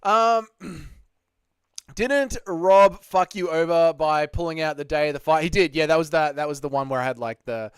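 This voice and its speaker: Excitable Australian man streaming live. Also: a little high, moderate pace, smooth, no echo, clean studio sound. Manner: excitable, swears, slangy banter. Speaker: streamer host. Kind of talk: live stream chat with viewers.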